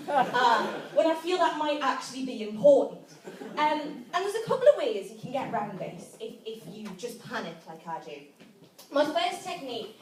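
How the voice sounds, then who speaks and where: A young woman with a Geordie accent performs stand-up in a notably high voice. The room is echoey and she sounds some way from the microphone. She talks in a conversational, self-mocking way, feeling her way through each line. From Newcastle area, North East England. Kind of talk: live stand-up comedy monologue. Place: live indoor event with an audience. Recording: echoey room, distant mic, audience noise. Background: audience laughter.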